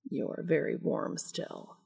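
A woman voicing a restrained, quietly seductive otherworldly male being in a hushed, deliberate mutter. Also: close to an everyday voice. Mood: restrained desire.

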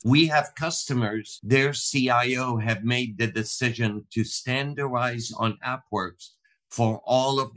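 A man speaking as a tech executive in an interview, measured and corporate in tone, with slightly non-native grammar. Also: medium pitch, a bit bright, low-quality recording. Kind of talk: interview answers.

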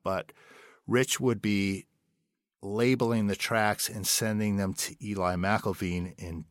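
A man's voice: fairly low pitch, steady tone, takes it slowly, no echo, studio quality. A man being interviewed about producing an audio drama, talking in a rambling, hesitant way. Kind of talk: interview guest.

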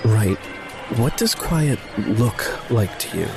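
A man's voice: fairly low pitch, expressive, takes it slowly, creaky, exaggerated voice. A man acting in an audio drama as a reluctant, reserved former magic user, terse and precise in instruction. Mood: patient, firm. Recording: music playing behind the dialogue.

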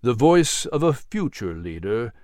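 A man voicing a grave, wise mentor in a storybook drama, speaking solemnly and deliberately. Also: medium pitch, big pitch swings, a little mellow, no echo, clean studio sound. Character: grave, wise male mentor guiding through history. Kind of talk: storybook character voice.

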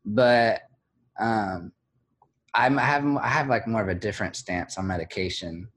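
A man speaking as an interview guest, candid and reflective, pausing often with fillers and restarts. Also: on the low side, fairly bright tone, husky. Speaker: guest, blogger.

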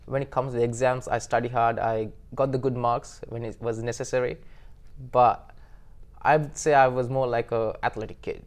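A man's voice: mid-range voice, steady tone, normal speed. Casual eager sri guy in his 20s, lots of short yeses and ums. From Sri Lanka. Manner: earnest, agreeable, with many short yeses and some hesitant ums. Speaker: interview guest. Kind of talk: casual interview answers.

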